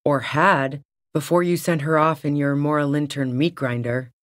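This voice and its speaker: A woman's voice: deep voice, steady tone, normal speed, no echo, close to an everyday voice. Tough, sardonic woman acting a game smuggler, dry sound. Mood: defensive, cold. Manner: dry, sarcastic, casually profane. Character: tough, sardonic female lorry-driving smuggler organizer. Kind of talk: video game character dialogue.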